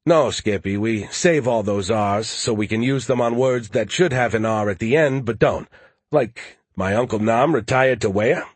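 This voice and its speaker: A man's voice: medium pitch, normal speed, breathy edge, no echo, lightly acted. A man voicing a wry, plain-spoken American soldier with casual, defensive dry banter, in an audiobook. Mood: stubborn, dry.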